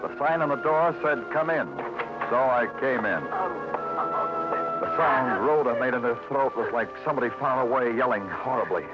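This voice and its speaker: An American actor playing a terse, world-weary police detective in a 1940s radio noir, clipped and hard-boiled. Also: male voice, mid-range voice, moderate pace, natural voice. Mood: brooding. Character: terse, world-weary New York police detective narrating his case. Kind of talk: 1940s noir radio drama, moody scripted narration. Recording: dull vintage radio recording with music behind.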